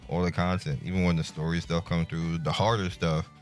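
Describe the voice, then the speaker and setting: A man hosting a gaming video, giving casual review commentary in a laid-back, colloquial, halting way. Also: low voice, little pitch movement. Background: music.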